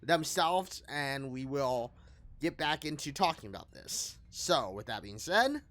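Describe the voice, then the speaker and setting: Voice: medium pitch, sing-song, measured pace, a bit bright. A gaming video host giving a casual, rambling review, often repeating and rephrasing. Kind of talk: casual scripted-light game review commentary. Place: small room at home, indoors. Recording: music playing behind the voice. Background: background music.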